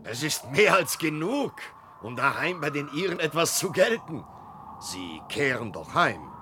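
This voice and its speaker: An actor playing a plain-spoken, courteous ship's captain in a 1960s radio drama, matter-of-fact in manner. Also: male voice, fairly high pitch, very animated, measured pace, pushed and bright, heavily acted. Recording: noisy storm-at-sea radio scene.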